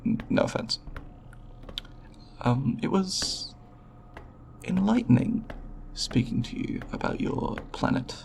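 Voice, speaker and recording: A timid alien scientist, played by a man, with heavy vocal fry and slow, halting delivery. He is curious, polite and formal, picking his way through scientific wording in an ASMR roleplay. His pitch moves around expressively. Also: expressive, darkish tone, slight background noise, exaggerated voice. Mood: sheepish, grateful. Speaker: roleplay voice performer.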